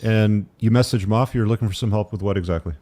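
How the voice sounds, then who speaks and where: A man's voice: fairly low pitch, fast talker, mellow. A man hosting a live-stream talk show, casually asking his guests questions. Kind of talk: casual interviewing.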